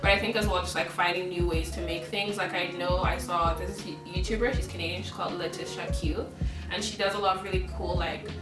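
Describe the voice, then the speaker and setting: A woman's voice: on the low side, steady tone, a little fast. Chatty young woman host, roomy echo. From Ghana, raised in Kenya and Uganda. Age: 20s. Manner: chatty, fills speech with "like". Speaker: channel host. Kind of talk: casual chat. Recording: roomy echo, distant mic, background music. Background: background music.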